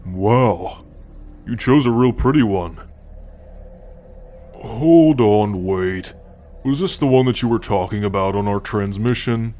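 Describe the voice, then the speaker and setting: A man's voice: on the low side, slow pace, long pauses, dark tone. A man voicing an easygoing alien tank soldier in an ASMR roleplay, wry and flirtatious, always ribbing his friend. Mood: teasing.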